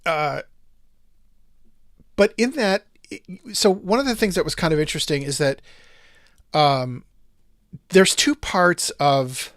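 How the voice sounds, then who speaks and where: A man's voice: a little high, lively intonation, slow, pauses often, fairly bright tone, dry recording, studio quality. A man hosting a casual discussion podcast, thinking aloud in long, rambling sentences full of restarts and asides. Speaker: podcast host.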